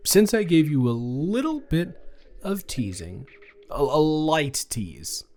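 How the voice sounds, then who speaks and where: A man playing a sweet, playfully teasing young college student in a romantic audio roleplay, speaking casually and haltingly with repeated words. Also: mid-range voice, darkish tone. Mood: affectionate, playful. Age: young adult.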